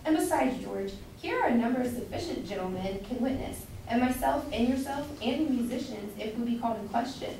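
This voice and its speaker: A woman's voice: on the high side, expressive, brisk, few pauses, warm tone. A woman as a gossipy citizen's wife, echoey. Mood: concerned, bossy. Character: chatty, opinionated London citizen's wife. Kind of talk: amateur staged reading of Jacobean comedy. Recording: echoey room from far off, background noise.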